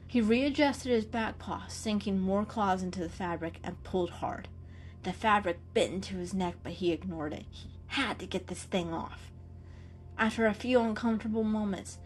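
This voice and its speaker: A woman reading a scripted story as narrator, in a dramatic storytelling style. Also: medium pitch.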